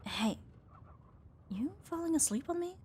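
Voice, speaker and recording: Young tomboy roommate played by a woman for ASMR romance: fond, flustered, teasing and halting, with very mobile intonation over light ambient noise. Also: high voice, animated, a little mellow. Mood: soft, affectionate. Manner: hesitant, teasing, breathy sighs and nervous laughs. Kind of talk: intimate ASMR romance roleplay.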